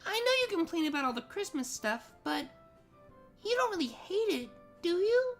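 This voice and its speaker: Meek young female siren, very high and thin, naive, swoopy intonation. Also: big pitch swings, normal speed, soft background music. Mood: timid, hopeful. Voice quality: small, thin voice. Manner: meek, stammering, pleading. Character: childlike, timid, tearful female siren, sweetly naive.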